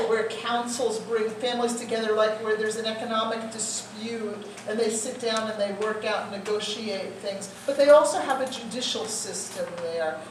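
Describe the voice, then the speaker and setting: Woman speaker, Q&A, thoughtful and explanatory, distant mic, faint hum behind. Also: a little high. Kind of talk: Q&A answer after a talk. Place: cafe event space. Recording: echoey room, far from mic, faint noise.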